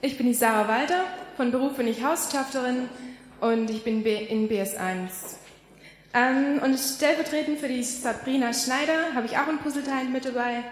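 A woman, a Bible school student, introduces herself with a slightly halting delivery. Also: high-pitched. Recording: echoey hall from far off.

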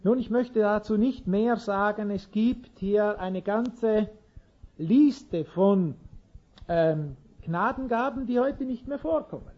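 A man's voice: sits high, slow, dull audio. A man preaching an informal sermon in an earnest way, chuckling now and then and restarting sentences.

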